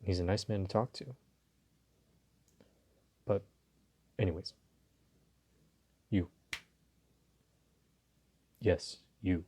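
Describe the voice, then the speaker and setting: A man playing a polite, flirtatious and dominant mafia boss in an intimate audio roleplay, talking to the listener in an unhurried, teasing way. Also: deep voice, fairly even intonation, dark tone, breathy edge, heavily acted. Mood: calm, probing.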